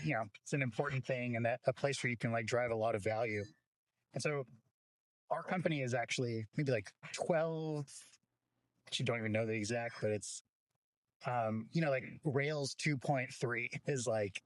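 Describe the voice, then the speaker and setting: A man's voice: mid-range voice, dry recording, poor audio. A man giving an informal welcome speech as a company representative, conversational and peppered with "like". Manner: conversational, frequent filler "like".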